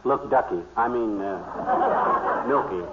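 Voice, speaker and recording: An actor playing a boastful, bumbling American husband in an old radio comedy, talking in a rambling, folksy way. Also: male voice, medium pitch, expressive, slow. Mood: flustered, insistent. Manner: folksy, rambling, tongue-twisting wordplay. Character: boastful, excitable husband and bumbling amateur duck hunter. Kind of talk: 1930s-40s radio comedy acting. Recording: muffled, noisy old-time radio recording.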